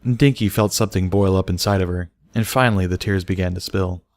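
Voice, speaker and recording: A man narrates a written story for an audiobook. His voice is fairly low. The recording is dry, with very little room sound. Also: fairly quick, a little mellow, no room sound.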